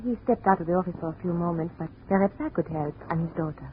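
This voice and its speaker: An actress playing a polite, devoted young woman, a gallery owner's daughter, gentle and earnest in a 1950s radio drama. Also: lowish voice, fast talker, lightly acted. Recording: muffled, noisy old radio recording.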